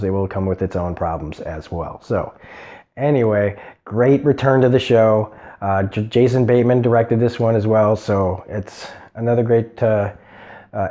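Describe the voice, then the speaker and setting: A man with a cold gives a casual, unscripted TV review in a low, mellow, nasal voice. He rambles, with lots of ums, uhs and restarts. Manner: rambling, many fillers and restarts. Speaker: TV review show host.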